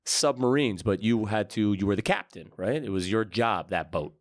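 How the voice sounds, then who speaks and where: A man's voice: fairly low pitch, lively intonation, normal speed. A man hosting a podcast in a brash, slangy, hyped-up way, from Brooklyn. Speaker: podcast host. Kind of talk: casual podcast chat.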